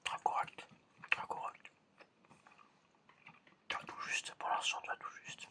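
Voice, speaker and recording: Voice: unhurried, sharp tone. An ASMR performer whispering a roleplay exam in a hesitant murmur, often repeating short phrases. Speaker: ASMR performer playing an examiner.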